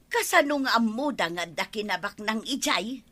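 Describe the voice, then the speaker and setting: Scheming village wife, pushy, high bright voice, radio comedy. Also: female voice, expressive, normal speed. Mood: curious, nosy. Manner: bossy, insistent. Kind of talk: radio comedy drama acting.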